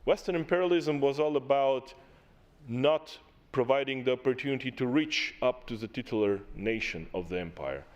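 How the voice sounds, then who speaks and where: A man's voice: medium pitch, muffled recording. A man delivers a formal speech in a large assembly chamber, pressing his points with emphatic repetition. He talks into a desk microphone with the weight of a delegate addressing colleagues. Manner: impassioned, emphatic, repeats key words. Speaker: parliamentary delegate.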